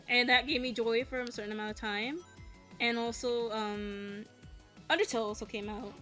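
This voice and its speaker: A young woman in her late twenties with a bright, clear voice that sits a little high. She talks in a loose, candid way while gaming, swearing freely and laughing nervously as she vents. Soft music plays in the background. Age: 20s. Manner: frank and profane, venting with nervous laughs. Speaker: content creator.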